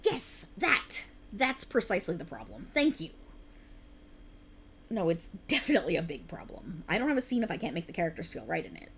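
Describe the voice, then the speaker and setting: A woman's voice: lowish voice, very animated, brisk, a bit bright, a little hiss or hum. A woman acting a sleep-deprived, stubborn writer girlfriend in a conversational ASMR roleplay, with a teasing, dramatic, bantering manner. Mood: self-critical.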